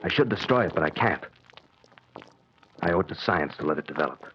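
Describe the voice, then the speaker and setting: A man's voice: low-pitched, animated, a little fast, faint music behind. An actor playing a secretive middle-aged banker obsessed with an experiment in his basement, tense and brooding, in a 1940s radio drama. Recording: muffled old radio recording with faint hiss.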